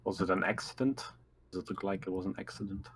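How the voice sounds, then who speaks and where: A man with a husky voice, talking casually as a player in a tabletop roleplaying game. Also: sits low, fast talker.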